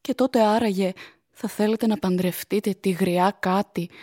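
A woman's voice: medium pitch, steady tone, mellow, dry recording, studio quality, close to an everyday voice. A woman playing a pious, anxious young fiancée in a radio drama, speaking earnestly and formally with tender reproach. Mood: doubtful.

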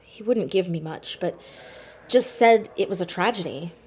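A woman's voice: fairly low pitch, moderate pace, a little mellow, breathy edge. A woman playing a curious, dry-witted teenage girl investigator in a naturalistic audio drama. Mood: intrigued. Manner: inquisitive, dry, hesitant on personal matters. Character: Max, curious sharp-witted teenage girl sleuth, orphaned.

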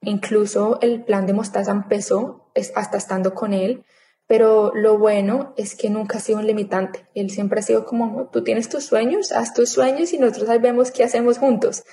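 A woman in her thirties telling her own story in a casual interview, chatty and animated, acting out her past thoughts as quotes. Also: mid-range voice, moderate pace. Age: 30s. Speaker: interview guest.

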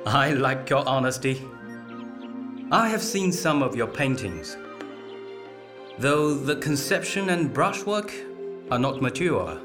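An actor playing a calm, dignified emperor in a radio drama, speaking with measured authority. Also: male voice, medium pitch. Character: emperor, calm, dignified, commanding patron of painters.

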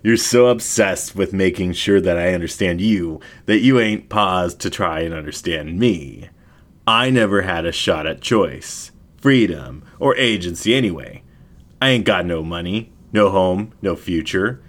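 A man's voice: low voice, unhurried. A man voicing a downtrodden, earnest dingo drifter in a story, blunt, muttering and colloquial. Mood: exasperated.

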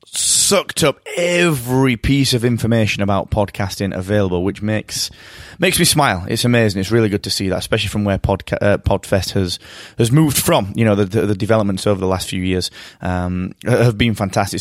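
A British man hosting his own podcast, talking alone from a hotel room in an upbeat, enthusiastic way. His voice is a little croaky from tiredness, and he often repeats words as he talks. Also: fairly low pitch, dry recording, studio quality. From Britain. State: slightly croaky voice. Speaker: podcast host. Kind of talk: solo podcast monologue.

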